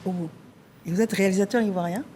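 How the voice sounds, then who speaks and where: A woman hosting a TV talk show, introducing guests and prompting them briskly with short acknowledgments. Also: medium pitch, fairly even intonation, warm tone, slight background noise.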